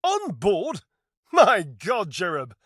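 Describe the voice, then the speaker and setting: A man playing a flamboyant, flirtatious, theatrical living appliance in a dating game, gushing with pet names and drawn-out words. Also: high-pitched, huge pitch swings, a bit bright, no room sound, studio quality, heavily acted. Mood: exuberant. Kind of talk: dating-game character voice acting.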